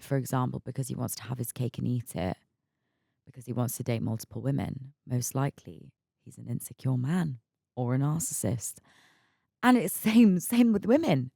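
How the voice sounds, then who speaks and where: A raspy, hungover woman in her mid-twenties hosting her own podcast, chatting candidly, laughing often and repeating words as she talks. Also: very deep voice, darkish tone, no echo, studio quality. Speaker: podcast host. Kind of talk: casual solo podcast chat.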